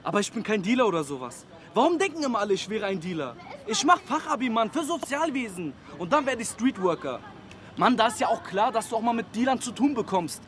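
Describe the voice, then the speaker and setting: A man's voice: high-pitched, very animated, fast talker, fairly bright tone. A young man playing an earnest, streetwise student in a naturalistic radio drama, with slangy, emphatic talk. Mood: indignant, earnest. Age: young adult.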